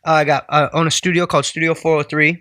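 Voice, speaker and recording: A man's voice: fairly high pitch, level tone, fast talker, bright, forceful tone, no echo, studio quality. A man in his twenties from the Bay Area, a musician guest telling his story in a laid-back, rambling way in a house. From Bay Area, California. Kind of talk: casual interview chat. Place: indoors at a house.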